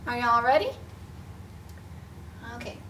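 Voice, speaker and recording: High-voiced woman hosting children's storytime. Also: takes it slowly. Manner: expressive, simple explanations for young children. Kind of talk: picture-book read-aloud and craft talk for preschoolers. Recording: distant mic with faint background noise.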